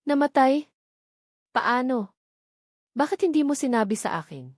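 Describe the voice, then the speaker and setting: A woman's voice: high-pitched, animated, measured pace, no room sound, studio quality, pitched above the performer's own voice. A woman voicing an emotional, questioning wife in an audio romance story. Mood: hurt, indignant.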